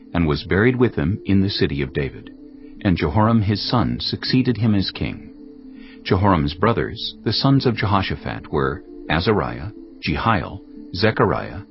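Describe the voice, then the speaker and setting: A man narrating scripture in a measured, solemn, scripted reading for an audio Bible. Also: sits low. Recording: dull lo-fi audio with faint music.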